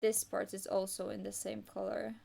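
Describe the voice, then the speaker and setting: A woman's voice: mid-range voice, flat delivery, normal speed, creaky, dark tone. A young woman whose first language is Polish, chatting casually on an art livestream while she draws, wandering and hesitant with lots of restarts and fillers. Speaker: art streamer.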